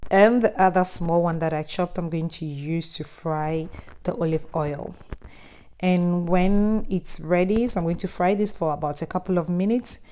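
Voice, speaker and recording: Friendly Ghanaian woman with a mellow voice. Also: lowish voice, normal speed. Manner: friendly, instructive, chatty asides. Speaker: cooking channel host. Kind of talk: cooking tutorial voice-over.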